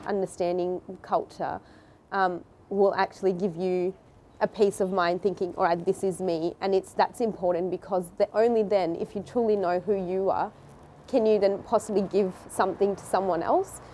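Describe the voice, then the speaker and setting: A woman's voice: mid-range voice, steady tone, normal speed, warm tone, slight background noise. A young woman from Bangladesh with an Australian accent, speaking reflectively in a documentary interview and laughing easily.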